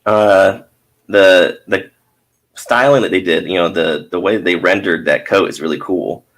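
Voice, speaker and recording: A man's voice: sits low, unhurried, fairly bright tone. A man co-hosting a casual chat, making wry, joking asides while critiquing art. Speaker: podcast co-host.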